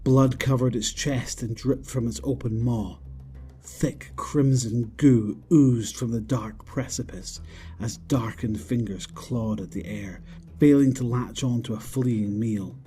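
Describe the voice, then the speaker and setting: A man's voice: medium pitch, slow, mellow, soft background music. A man reading scripted narration for a horror audio drama.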